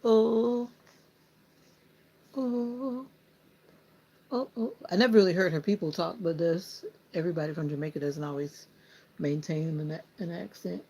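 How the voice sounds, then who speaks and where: A woman's voice: on the low side, measured pace, pauses often, mellow, breathy edge, rough recording. A woman with a Southern US accent, chatting casually with viewers and laughing often while she trims her hair. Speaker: vlogger. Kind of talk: casual chat with live viewers.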